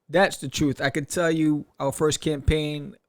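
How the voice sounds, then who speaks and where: A man hosting a podcast in a casual solo talk, rambling in an animated way and often throwing out rhetorical questions. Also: fairly high pitch, a little slow. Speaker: podcast host. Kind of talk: casual solo talk to camera or mic about crowdfunding.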